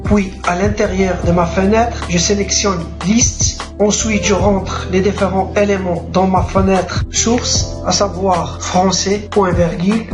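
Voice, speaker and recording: Male tutorial narrator, methodical step-by-step delivery, roomy echo, music bed. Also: sits high. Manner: steady step-by-step dictation of clicks and formulas. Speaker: software tutor. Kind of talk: screen-recorded tutorial narration. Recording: echoey room with music behind.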